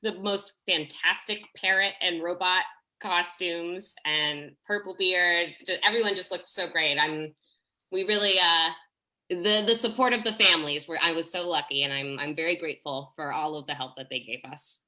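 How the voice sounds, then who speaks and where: A woman's voice: on the low side, normal speed, sharp tone. A woman speaking as a play director in a casual panel chat, effusive and emphatic.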